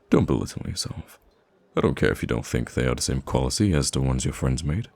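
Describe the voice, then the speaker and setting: A man playing a soft-spoken, wistful and gracious young prince in an ASMR roleplay, speaking gently and haltingly. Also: full character voice. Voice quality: very deep, gravelly, husky. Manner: hesitant, gentle, halting with sighs and stammers.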